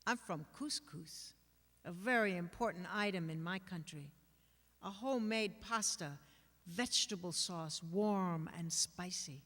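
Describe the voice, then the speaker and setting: A woman giving a dramatic reading of a personal poem, phrase by phrase with measured pauses. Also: on the low side, fairly bright tone. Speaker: actor or reader of others' writing. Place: reverberant hall, at a microphone. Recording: long hall reverb.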